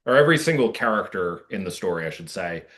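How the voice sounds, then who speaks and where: A man speaking casually as a playwright and actor being interviewed, earnest, with halting phrasing and frequent use of "like". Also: low voice, very animated, fairly bright tone.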